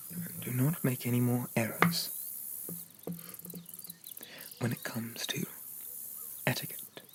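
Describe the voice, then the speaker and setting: A haughty young demon prince , acted out by a man, speaks in a medium-pitched, unhurried voice that slips into whispers. He is formal, condescending and quietly possessive, in the style of an ASMR roleplay. Faint ambient noise sits behind him. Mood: haughty, offended. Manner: pompous, formal, softly condescending.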